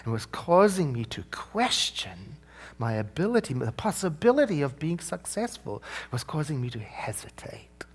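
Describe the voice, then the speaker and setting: South African man preaching, lively voice. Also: a little high, normal speed, husky. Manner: conversational, storytelling with frequent "you know" asides. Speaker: minister. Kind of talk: sermon.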